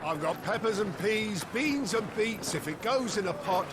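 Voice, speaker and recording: An actor playing a market vegetable seller in a video game, hawking with rhythmic rhyming patter. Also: male voice, high voice, lively intonation, moderate pace. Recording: very noisy outdoor market.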